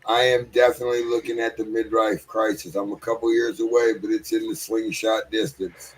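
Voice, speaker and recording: A man's voice: lowish voice, flat delivery, fairly quick, husky, low-quality recording. A man in his forties joking and teasing in a casual podcast chat. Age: 40s.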